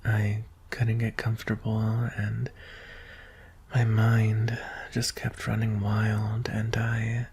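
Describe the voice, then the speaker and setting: Someone acting as a timid vulnerable alien prince, asmr roleplay, soft whispery husky voice w some creak, real slow. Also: medium pitch, completely flat delivery, a little hiss or hum. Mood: timid, lonely. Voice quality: breathy, husky, often whispering. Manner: hesitant, halting, tender. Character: shy, anxious young alien prince, gentle and vulnerable.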